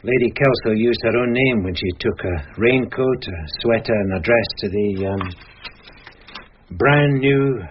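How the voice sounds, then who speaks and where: An actor playing a dogged, deferential police sergeant with a British accent in a radio crime drama, respectful and procedural. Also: male voice, medium pitch, a little slow, close to an everyday voice. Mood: matter-of-fact. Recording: dull, hissy old radio recording with clicks.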